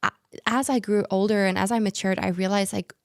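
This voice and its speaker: A young woman from South Africa whose first language is Afrikaans, speaking with a South African accent and chatting easily in a podcast interview, full of fillers and quick laughs. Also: medium pitch, steady tone, no room sound, studio quality. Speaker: guest, former pageant winner. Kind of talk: podcast interview, casual chat.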